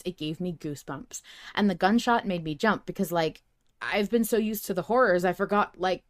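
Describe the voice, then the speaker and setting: A woman co-hosting a fan podcast, chatting casually and reading out emails in an animated, emphatic way. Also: mid-range voice. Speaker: podcast co-host.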